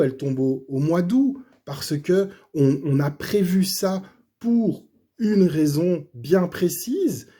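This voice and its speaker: A middle-aged man, slightly hoarse, gives a personal faith testimony in a halting, casual way with many fillers and restarts. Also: high voice, warm tone.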